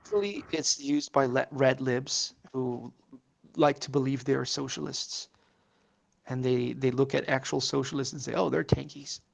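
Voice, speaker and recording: Portuguese-native guy, super gravelly fry voice, rambly, lots of likes and swearing. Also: medium pitch, fairly even intonation, normal speed. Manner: rambling, with many fillers and curses. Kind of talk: casual political chat.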